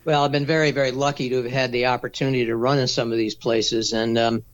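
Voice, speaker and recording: Measured man explaining race logistics. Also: medium pitch, flat delivery, rough recording. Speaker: race director. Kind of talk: casual interview answers.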